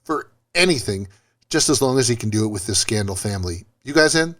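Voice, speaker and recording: A man's voice: mid-range voice, lively intonation, brisk, a bit bright. A man hosting a radio interview in an upbeat, scripted celebrity quiz style, with punchy, showmanlike delivery.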